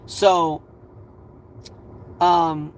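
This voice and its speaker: A man in his twenties hosting a livestream, giving earnest advice in a rambling, halting way full of fillers, his voice a little scratchy and tired. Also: on the high side, level tone. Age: 20s. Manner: earnest, reassuring. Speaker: livestream host.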